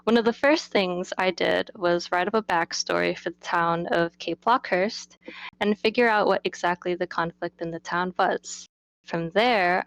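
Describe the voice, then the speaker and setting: A woman's voice: on the low side, vocal fry, fairly bright tone, dry recording, rough recording. A woman game developer and designer answering Q&A questions, partly reading from prepared notes. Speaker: game developer and character artist in a Q&A.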